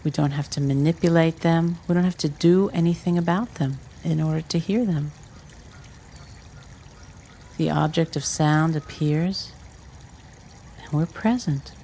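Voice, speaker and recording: A meditation teacher giving guided instruction in measured, short phrases with pauses between them. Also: medium pitch, mellow, a little hiss or hum. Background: trickling water ambience.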